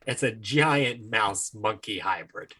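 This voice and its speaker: A man's voice: lowish voice, takes it slowly, sharp tone, slightly rough. A man co-hosting a podcast, trading casual, crude jokes in short conversational interjections. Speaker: podcast co-host. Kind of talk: casual comedic banter. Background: royalty-free synthesizer music.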